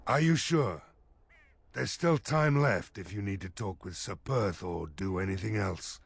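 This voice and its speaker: An actor voicing a gruff, weary, plain-spoken rustic village mayor in a fantasy video game. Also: male voice, lowish voice, measured pace, no echo, exaggerated voice. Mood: businesslike, weary.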